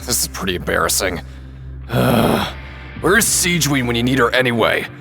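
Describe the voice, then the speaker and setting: A man's voice: medium pitch, sing-song, moderate pace, gravelly, pushed and bright, soft background music, full character voice. An actor playing a seasoned male work chief in a video game, sheepish and casual, muttering hesitantly. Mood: embarrassed, grumbling. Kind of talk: video game character dialogue.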